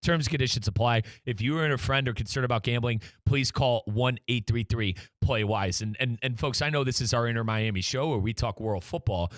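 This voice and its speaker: A man's voice: mid-range voice, a little fast, few pauses, no echo. An American man hosting a sports talk show, chatty and emphatic, speaking straight to listeners. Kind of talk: conversational sports talk with live sponsor reads.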